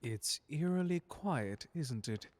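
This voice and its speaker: Guy doing a smooth polite butler, dryly sardonic, super close dry sound, asmr roleplay type thing. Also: a little high, expressive, slow pace, no echo. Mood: curious, watchful. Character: suave, sardonic, unflappable demon butler, protective and courteous. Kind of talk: ASMR audio drama roleplay addressed to the listener.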